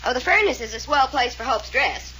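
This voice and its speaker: An actress playing an anxious, inquisitive young accomplice, hesitant and eager, in a 1940s radio mystery. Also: female voice, high voice, lively intonation, a little fast, sharp tone. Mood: anxious, curious. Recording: noisy old radio transcription.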